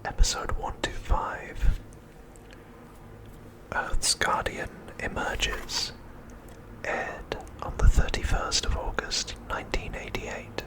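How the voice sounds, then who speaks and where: A man whispering an ASMR narration in a soft, unhurried way, with deliberate phrasing and pauses. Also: rough recording. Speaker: host of an ASMR channel.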